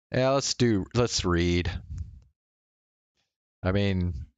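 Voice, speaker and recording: A man's voice: deep voice, extremely slow, clear, resonant tone, no echo, muffled recording. A man streaming a visual novel, mixing read-aloud narration with casual commentary on the game.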